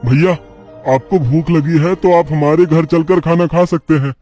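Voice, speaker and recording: A man's voice: fairly high pitch, fairly even intonation, normal speed, mellow, soft background music, lightly acted. A man playing a humble, hospitable poor farmer, polite and deferential, in a moral-story cartoon.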